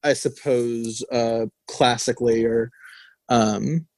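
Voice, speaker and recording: A man's voice: medium pitch, steady tone, takes it slowly, darkish tone, dry recording. A young man co-hosting a college radio show, chatting casually about a record with many fillers and restarts. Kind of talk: casual music review chat. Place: radio studio. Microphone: studio mic.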